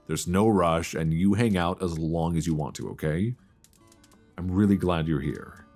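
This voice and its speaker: Deep-voiced, playful male friend, acted by a man. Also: animated, measured pace, a little mellow, soft background music, heavily acted. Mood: relaxed, friendly. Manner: teasing, stammering, chuckling banter. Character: playful, flustered male old friend hosting Friendsgiving, affectionate teaser. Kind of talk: intimate romantic ASMR audio roleplay, casual and conversational.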